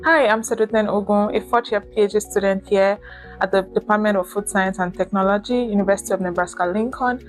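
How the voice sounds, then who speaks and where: A woman's voice: mid-range voice, steady tone, a little fast, soft background music. A woman from Nigeria introducing herself as a PhD student and chapter officer. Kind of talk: self-introduction.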